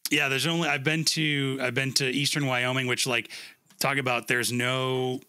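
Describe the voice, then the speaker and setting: A man hosting a casual interview, asking informal, rambling questions in a halting way. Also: medium pitch, flat delivery, pushed and bright, no room sound, clean studio sound. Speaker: podcast host, interviewer.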